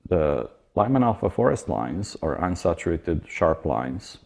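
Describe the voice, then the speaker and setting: A man lecturing with an extremely low, creaky voice. He explains technical material in a measured manner and drops in informal asides. The tone is dark and rather husky. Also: big pitch swings.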